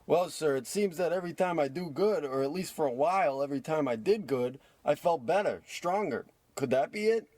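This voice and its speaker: A man's voice: fairly high pitch, animated, brisk, few pauses, a little mellow, dry recording. A man playing a hapless small-time crook, a self-pitying tough guy, with melodramatic wisecracks in a parody of old radio drama. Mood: earnest.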